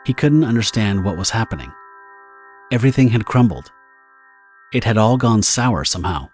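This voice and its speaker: Deep-voiced male story narrator over music. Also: expressive, normal speed, darkish tone. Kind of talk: scripted dramatic story narration.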